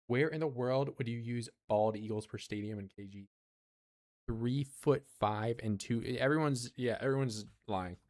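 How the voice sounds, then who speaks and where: A man's voice: medium pitch, normal speed, darkish tone, dry recording, clean studio sound. A young man streaming a survival game, rambling and joking with his chat in a casual way. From United States. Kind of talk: casual gameplay commentary.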